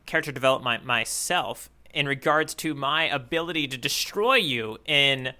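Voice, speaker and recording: A man co-hosting a podcast, chatting casually about comics in a halting way, often restarting his sentences. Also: medium pitch, bright, forceful tone. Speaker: podcast co-host. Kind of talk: casual chat about a manga.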